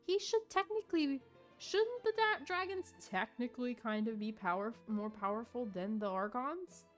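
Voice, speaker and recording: A woman's voice: a little high, lively intonation, moderate pace, faint music behind. A woman giving casual, opinionated live commentary as a game streamer, talking in slang.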